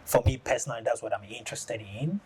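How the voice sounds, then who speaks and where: A man with a Ghanaian accent, an artist talking through his work in a thoughtful, halting way, often pausing on "you know.". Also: mid-range voice, a little hiss or hum. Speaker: ceramic artist. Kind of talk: reflective studio talk.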